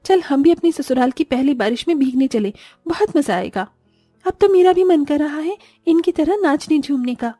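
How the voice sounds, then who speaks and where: A woman's voice: high voice, animated, normal speed, mellow, no room sound, faint music behind. A woman voicing a young, poor daughter-in-law in a cartoon, earnest and plaintive but standing up for herself. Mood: wistful. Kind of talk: Hindi moral-story cartoon voice acting.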